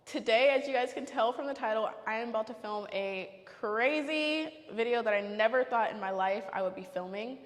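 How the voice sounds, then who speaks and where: A woman's voice: fairly high pitch, normal speed, hardly any pauses, big-room sound. A woman in her twenties hosting her own vlog in a casual, nervous, giggly way that rambles on. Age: 20s. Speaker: vlogger host.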